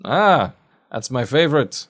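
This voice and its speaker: A man's voice: mid-range voice, very animated, fairly bright tone, pitched above the performer's own voice. Man playing a folksy, kindly old man, slightly raised voice. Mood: genial, curious. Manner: folksy, unhurried, sage advice. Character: kindly, cryptic old man on a country road. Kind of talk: audio fiction character voice.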